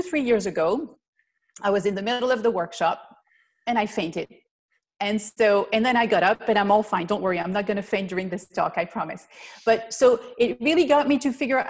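A woman from Belgium, a physical therapist giving an online presentation in an earnest, explanatory way over a video call. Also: medium pitch. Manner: earnest, explanatory, lists things step by step. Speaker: physical therapist and riding instructor presenting a webinar.

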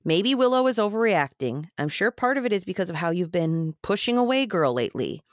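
A woman's voice: lowish voice, sing-song, fairly quick, no echo, studio quality. A woman playing a loyal, wry young man in a podcast script read, speaking casually. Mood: placating. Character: young man, loyal peacemaker friend, wry, feeling overlooked.

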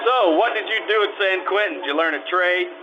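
A man's voice: high-pitched, a little fast, lo-fi sound, very noisy, close to an everyday voice. A man playing a world-weary private detective in an old-time radio drama, with dry, sardonic, clipped delivery. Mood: teasing. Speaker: amateur radio troupe actor.

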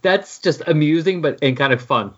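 A man's voice: a little high, moderate pace, fairly bright tone, dull audio. A man co-hosting a podcast, chatting casually and wryly about comics. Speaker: podcast co-host.

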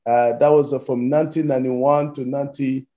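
A man in his fifties with a Congolese accent, a pastor answering interview questions haltingly and repeating his phrases as he goes. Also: mid-range voice, level tone, mellow, highs cut off. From Democratic Republic of Congo. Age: 50s. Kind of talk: interview answers, recounting life story.

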